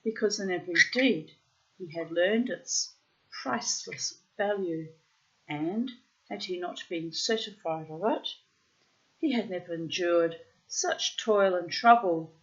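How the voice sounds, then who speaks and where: A woman's voice: lowish voice, a little slow, lo-fi sound, close to an everyday voice. A woman reading as an earnest young son. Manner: formal archaic storybook speech.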